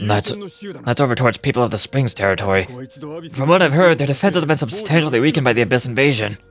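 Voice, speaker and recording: Fantasy game war leader, male actor: mid-range, commanding, earnest, resolute delivery, clear music bed underneath. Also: medium pitch, lively intonation, fast talker, lightly acted. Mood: concerned. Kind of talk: dramatic fantasy game voice acting.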